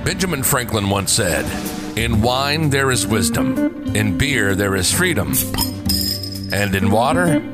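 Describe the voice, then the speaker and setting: A man's voice: fairly low pitch, big pitch swings, a little slow. A man reading a scripted podcast intro as its announcer, with guitar music behind him. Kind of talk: scripted promotional intro narration. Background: loud plucked guitar music.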